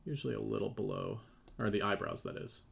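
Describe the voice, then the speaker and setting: A man's voice: on the low side, quick pace, creaky, a bit bright. A man talking casually as a game developer on a livestream, explaining his work. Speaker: game developer and 3D modeler on a livestream team panel. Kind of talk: casual livestream chat and demonstration. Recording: faint background noise, keyboard typing.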